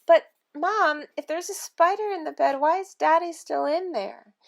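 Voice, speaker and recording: A woman voicing a calm, stern, sensible young girl in a storybook, questioning and matter-of-fact. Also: very high voice, moderate pace, vocal fry, voice pushed up. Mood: puzzled.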